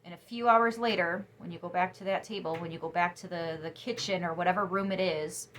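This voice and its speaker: A young woman giving a casual tarot reading to camera, chatty and emphatic, sniffly after crying, her voice turning soft when she muses. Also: lowish voice, steady tone, brisk, a little hiss or hum. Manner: chatty, emphatic, drops to soft musing asides. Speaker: tarot reader.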